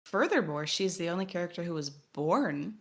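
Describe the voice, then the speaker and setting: A woman hosting a podcast, speaking in measured, analytical discussion with precise, formally worded sentences. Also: fairly low pitch, expressive. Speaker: podcast host.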